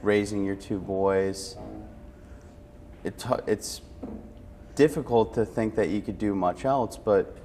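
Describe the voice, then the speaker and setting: Deep-voiced man hosting an interview. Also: slow, long pauses, warm tone, soft background music. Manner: encouraging, interested listener. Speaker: interviewer, host. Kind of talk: conversational interview questioning.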